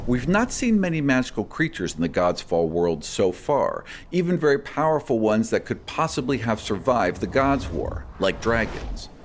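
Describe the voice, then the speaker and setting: A man hosting a podcast, reading scripted fantasy lore and answers in a measured storyteller's manner. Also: mid-range voice, very animated, a little hiss or hum. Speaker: podcast host and game master. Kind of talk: scripted narration answering listener questions.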